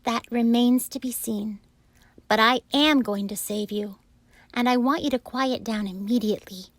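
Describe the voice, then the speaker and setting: A woman voicing a wise, kindly female spider with a sweet, measured and brisk way of speaking, a bit higher than her own voice. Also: no echo, lightly acted. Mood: firm. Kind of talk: storybook reading.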